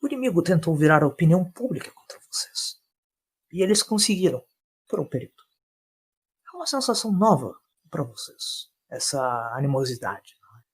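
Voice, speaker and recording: A man voicing a pompous, dry gentleman doctor in an audio story, courteous with a sombre edge. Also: on the high side, sing-song, a little fast, breathy edge, voice pushed up, full character voice. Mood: sober sympathy. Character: pompous, dry British gentleman doctor, sympathetic yet sober.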